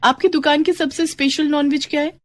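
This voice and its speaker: Woman playing an expressive, eager young bride. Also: high voice, fairly quick, dry recording, clean studio sound, pitched above the performer's own voice, natural voice. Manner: expressive, exclaiming. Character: young bride secretly craving meat, eager and impatient. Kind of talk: Hindi moral-story dramatization.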